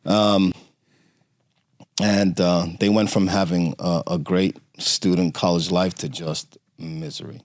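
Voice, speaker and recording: Deep-voiced man, dry close sound. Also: flat delivery, no echo. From Romania. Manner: halting, many fillers and restarts. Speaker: podcast guest. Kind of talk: conversational interview storytelling.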